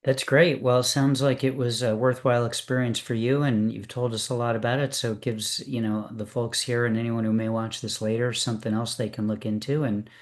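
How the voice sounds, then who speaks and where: A man's voice: medium pitch, flat delivery, fairly quick, hardly any pauses. A man hosting an open poetry reading in an informal, appreciative and encouraging manner. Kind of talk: casual live event hosting.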